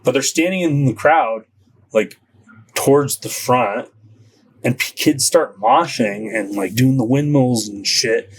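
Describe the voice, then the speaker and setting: A man's voice: mid-range voice, unhurried, breathy edge, rough recording. Rambling man telling stories. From Southern California. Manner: rambling, lots of filler likes. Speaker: podcast guest. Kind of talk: casual storytelling.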